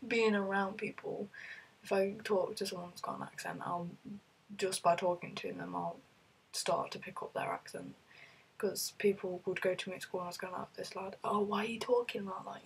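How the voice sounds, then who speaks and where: British girl vlogging while sick, super husky and kinda gravelly, lots of ums and rambling. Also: medium pitch, poor audio. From United Kingdom. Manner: rambling, chatty, lots of fillers and restarts. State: ill, voice rough. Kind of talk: casual chat answering a question list.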